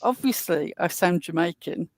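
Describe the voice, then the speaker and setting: A woman's voice: on the low side, animated, moderate pace, darkish tone, no echo. A woman panelist in a casual chat, delivering dry, sarcastic remarks.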